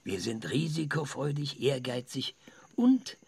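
Gravelly, husky old professor, swinging with passion. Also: male voice, mid-range voice, very animated, a little mellow, no echo, full character voice. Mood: musing, proud. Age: older. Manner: lecturing, halting, stammering under strain. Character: frail, passionate old male science professor. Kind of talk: radio drama acting.

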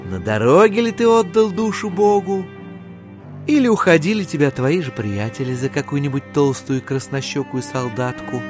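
Chichikov, slow, swooping, musing gentleman. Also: high voice. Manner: musing, drawling, mockingly familiar. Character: Chichikov, shrewd gentleman musing aloud over dead serfs. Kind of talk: theatrical audiobook monologue.

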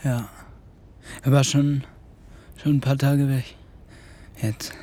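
A man's voice: medium pitch, little pitch movement, takes it slowly, mellow. A man playing a taciturn, tough teenage village boy in a naturalistic German radio drama, answering bluntly in few words. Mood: stunned, subdued. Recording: outdoor ambience with crickets, background noise.

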